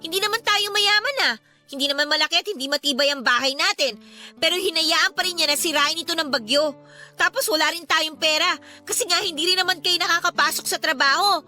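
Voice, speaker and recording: A poor teenage daughter in a radio drama. Her voice is very high and bright, pleading and full of questions, yet respectful. Soft music sits underneath. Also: brisk, full character voice. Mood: frustrated, weary. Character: distressed teenage daughter of a poor flooded family. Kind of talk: Tagalog radio drama acting.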